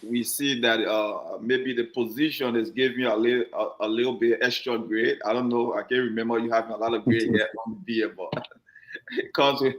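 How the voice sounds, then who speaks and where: A man's voice: medium pitch, fairly even intonation, a little fast, fairly bright tone. Chatty male talk show host. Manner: joking, chatty, repeats words. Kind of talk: casual community talk show chat. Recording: roomy echoey sound.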